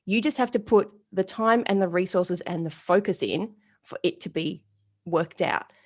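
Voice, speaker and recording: A woman's voice: lowish voice, moderate pace. A woman in her forties giving an interview as a retail strategist, telling stories bluntly and casually and acting out the people she quotes. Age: 40s. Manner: lively, animated storytelling with quoted dialogue. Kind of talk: storytelling interview answer.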